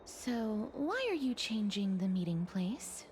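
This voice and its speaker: A woman playing a gentle, earnest maiden in a video game, careful and formal in the way she talks. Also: medium pitch, sing-song, slow pace, slight background noise. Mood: anxious. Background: game music.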